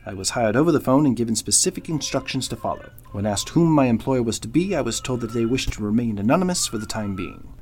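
A man's voice: mid-range voice, expressive, fast talker, hardly any pauses, darkish tone, natural voice. A man playing a polite, discreet butler in a radio drama, speaking in a formal, deferential manner. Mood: formal, apologetic. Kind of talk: golden-age radio drama acting.

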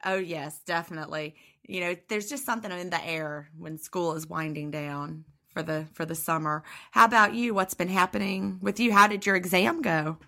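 A woman co-hosting a podcast, a teacher whose voice is slightly hoarse, talking in a friendly, chatty way with some restarts. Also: fairly low pitch, moderate pace, hardly any pauses, bright, forceful tone. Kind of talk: casual podcast chat.

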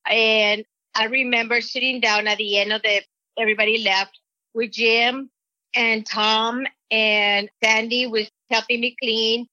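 A woman's voice: fairly high pitch, level tone, sharp tone, dry recording. Earnest woman with a Mexican accent. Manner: rambling, earnest, halting restarts. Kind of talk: personal testimony. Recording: dull lo-fi recording.

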